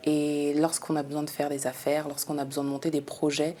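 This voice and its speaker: Low, mellow-voiced businesswoman. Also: a little fast. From Democratic Republic of the Congo. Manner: calm, earnest, with frequent hesitations. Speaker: guest, businesswoman. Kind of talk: conversational interview.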